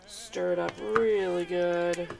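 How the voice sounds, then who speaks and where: A woman with a gravelly edge to her voice walks through a home recipe as she films herself. She talks in a casual, instructive way, full of fillers. Music plays under her voice in the home kitchen. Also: fairly low pitch, fairly even intonation, slow pace. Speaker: home cook filming herself. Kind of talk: casual cooking demonstration. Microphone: handheld camera. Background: food sizzling in a pan.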